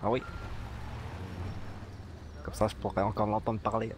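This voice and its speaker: Man playing a naive villager over clear engine noise. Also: on the low side, little pitch movement, unhurried, lightly acted. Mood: naive, earnest. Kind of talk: improvised online roleplay.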